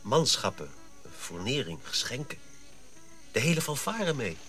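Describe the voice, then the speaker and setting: An actor playing a dutiful, questioning Dutch colonial officer, earnest and precise, in a radio drama. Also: male voice, mid-range voice, sing-song, a little slow, fairly bright tone. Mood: concerned.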